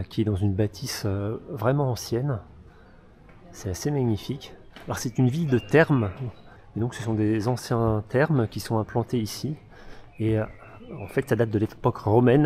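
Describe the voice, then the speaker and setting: A man's voice: fairly low pitch, mellow. Chatty French man vlogging his travels. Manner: chatty, enthusiastic, many fillers. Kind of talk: casual travel vlog narration. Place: outdoors on a motorcycle trip through villages. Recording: background music.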